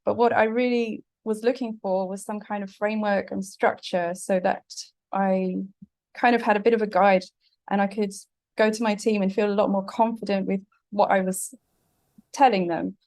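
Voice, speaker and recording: A woman's voice: medium pitch, steady tone, moderate pace, a little mellow, dry recording. British woman giving a casual talk. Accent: British, southeast London. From England. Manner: casual, with frequent fillers and restarts. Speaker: guest speaker. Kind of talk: presentation talk.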